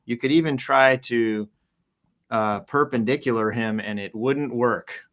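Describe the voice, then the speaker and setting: A man's voice: mid-range voice, a little slow, fairly bright tone. A man hosting a casual, jokey live show in a playful, theatrical, rambling way. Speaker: host. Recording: noisy, with nature sounds behind. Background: forest and nature ambience.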